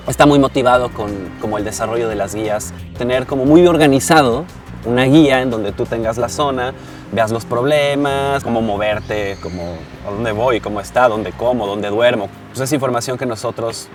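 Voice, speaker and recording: A man from Mexico talking about a friend in a relaxed, rambling way. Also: on the low side, recorded from a distance. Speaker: host. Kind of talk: interview narration.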